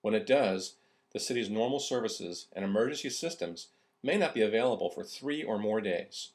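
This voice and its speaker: A man's voice: lowish voice, lively intonation, moderate pace. A man reading scripted narration for an instructional video.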